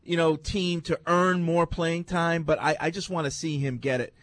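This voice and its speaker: A man's voice: fairly high pitch, steady tone, normal speed, a bit bright. A male sports radio host taking calls, agreeable and hedging.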